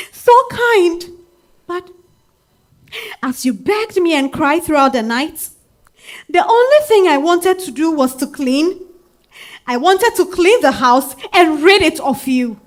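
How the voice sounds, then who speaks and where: A woman with a Ghanaian accent playing a bitter, wounded wife in her thirties in a theatrical stage reading. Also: high voice. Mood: bitter, anguished. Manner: theatrical, swinging from hushed to outcry. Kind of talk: dramatic stage monologue reading. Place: live stage at a literary festival.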